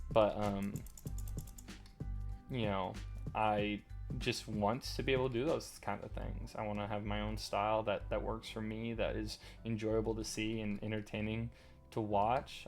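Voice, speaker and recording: Low guy with a gravelly voice, slight stutter, rambly gamer chat, faint music behind. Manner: rambling, halting, many fillers and restarts. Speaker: gaming channel host. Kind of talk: casual commentary while playing. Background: faint lo-fi music and keyboard clicks.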